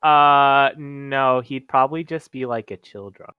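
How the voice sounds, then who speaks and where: A man's voice: mid-range voice, fairly even intonation, measured pace, smooth, no echo, phone-quality sound. A man playing in a tabletop roleplaying game, describing what his character does in improvised narration.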